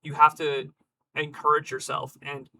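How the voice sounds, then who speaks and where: A man hosting a live stream, slightly hoarse, talking casually and thoughtfully with many fillers. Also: fairly high pitch, monotone, unhurried, bright, forceful tone, slight background noise, rough recording. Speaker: stream host.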